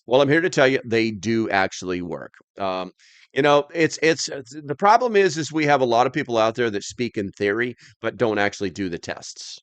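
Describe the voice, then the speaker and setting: A man's voice: mid-range voice, animated, moderate pace, dry recording. A man hosting a live stream, chatting casually as he reads and answers comments in a rambling, plain-spoken, slightly grumbly way.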